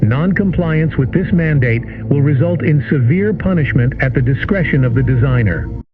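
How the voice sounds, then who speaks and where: A man voicing a formal emergency broadcast announcer for a horror series, reading warnings in a flat official manner. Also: a little high, moderate pace, lightly acted. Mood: ominous. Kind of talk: played clip from an analog horror series. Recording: tinny lo-fi broadcast with music.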